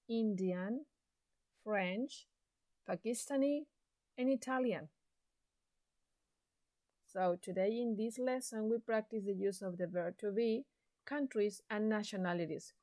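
A woman teaching an English lesson to secondary students, speaking slowly and deliberately with an encouraging classroom manner. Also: mid-range voice, mellow, no echo. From Chile. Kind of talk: slow recorded classroom lesson.

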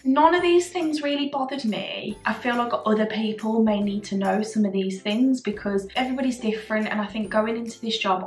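Woman, 20s, hoarse and gravelly vlogger voice, animated home chat. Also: mid-range voice, echoey room, low-quality recording. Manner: chatty, animated, gestures a lot while talking. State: a little hoarse. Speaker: vlogger, former cabin crew. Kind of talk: casual chat to camera. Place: bright living room at home. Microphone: camera in front at desk distance.

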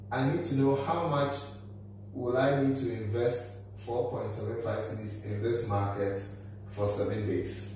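A man's voice: medium pitch, darkish tone, recorded from a distance. A man in his thirties giving a methodical whiteboard lesson, talking step by step through the figures in a small office room. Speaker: finance educator. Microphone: camera at a distance. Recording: echoey room, voice from off-mic, faint hum.

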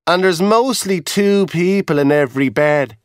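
A man's voice: fairly high pitch, measured pace, no echo, clean studio sound, pitched above the performer's own voice, natural voice. A man voicing a polite, earnest young hotel boy. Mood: weary. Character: polite young Irish hotel boy, weary yet helpful.

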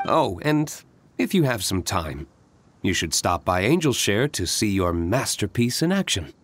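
A man playing a composed, courteous young business owner in a video game, speaking in a formal, measured, polite way. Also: lowish voice, sing-song. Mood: polite, businesslike.

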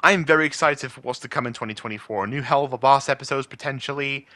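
Bright-voiced man chatting casually. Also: medium pitch, fast talker, no echo. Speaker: voice impressionist. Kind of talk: casual chat to followers.

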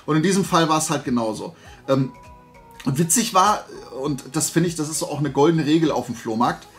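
A man's voice: fairly high pitch, lively intonation, fairly quick, soft background music. Middle-aged man, casual rambling haul chat. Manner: rambling, self-interrupting. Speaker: collector presenting flea market finds. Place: indoor room lined with shelves of collectibles.

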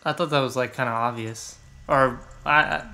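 A man's voice: mid-range voice, steady tone, moderate pace, sharp tone. A man playing a video game as co-host, chatting in a casual, offhand, sweary way. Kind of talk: casual let's play banter. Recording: game music behind the voice. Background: video game music.

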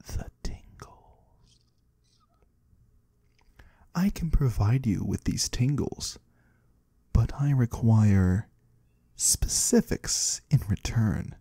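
A man playing a mysterious, courteous disembodied trader of the void in a soft-spoken ASMR roleplay, husky and breathy, slow and gently curious. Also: mid-range voice, lively intonation, dry recording, clean studio sound, exaggerated voice. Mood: hushed, mysterious. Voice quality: husky, breathy, sometimes whispering. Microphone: close, dry microphone.

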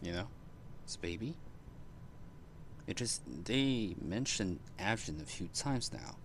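A man giving casual live commentary on a game playthrough, musing aloud haltingly. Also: fairly low pitch, expressive, a bit bright, slight background noise. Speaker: game streamer. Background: game music.

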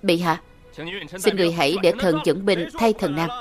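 A woman reading a scripted voice-over dub for an animated fantasy drama. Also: lowish voice, moderate pace, over music. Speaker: voice-over narrator dubbing all characters.

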